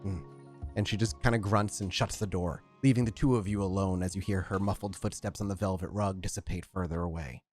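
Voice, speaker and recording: A man as storyteller, narrating a tabletop roleplaying game in descriptive, unhurried speech with frequent fillers. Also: sits low, steady tone, a little mellow, slightly rough, faint music behind.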